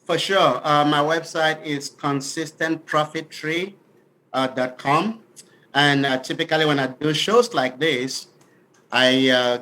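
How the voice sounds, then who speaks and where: Male interview guest from Cameroon with that accent, bright and crisp-edged, instructive and sincere. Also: medium pitch, low-quality recording. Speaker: podcast guest, business author. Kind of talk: explanatory interview talk.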